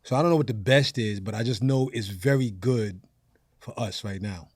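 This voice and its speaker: An American man, a stand-up comedian guesting on a podcast, talking casually in slang and thinking out loud. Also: on the low side, moderate pace, breathy edge, no room sound, clean studio sound.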